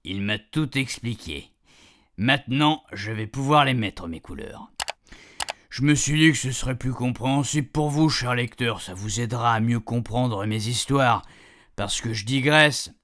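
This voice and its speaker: A man's voice: mid-range voice, measured pace, fairly bright tone, slight background noise, lightly acted. An actor playing a chatty, proud retired husband in a radio fiction, rambling colloquially and speaking straight to his reader. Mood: confiding, rambling. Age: older. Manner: colloquial, digressive, addressing the reader directly. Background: music.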